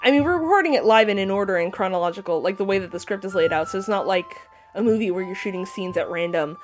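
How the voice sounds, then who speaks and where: Woman, fast talker, mid pitch, casual conversation, faint music in background. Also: animated. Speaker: voice actor. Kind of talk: casual chat.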